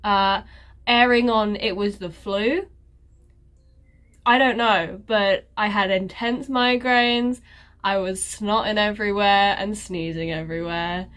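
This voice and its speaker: Slow-talking congested woman vlogger. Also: medium pitch, steady tone, fairly bright tone. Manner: sarcastic, self-mocking, rambling with many fillers. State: congested, stuffy nose. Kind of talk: casual life-update chat.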